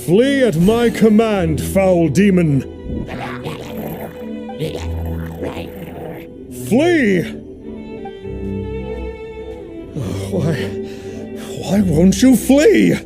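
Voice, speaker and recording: A man's voice: high-pitched, big pitch swings, slow, creaky, over music, exaggerated voice. A man playing a pompous, boastful young fake exorcist in a video game, talking in mock-archaic, easily flustered bluster. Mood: commanding, panicking.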